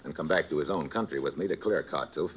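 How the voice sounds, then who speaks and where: A hard-boiled radio secret agent. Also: male voice, extremely low pitch, fast, a little hiss or hum, poor audio. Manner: hard-boiled, laconic narration. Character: tough, wisecracking male special agent. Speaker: narrator and lead agent. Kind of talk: 1950s radio drama acting. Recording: old radio recording with music.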